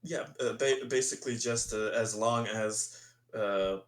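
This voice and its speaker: Male tabletop player, lowish voice, casual halting talk with chuckles, roomy echoing recording. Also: steady tone. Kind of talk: casual game chat. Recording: roomy echo.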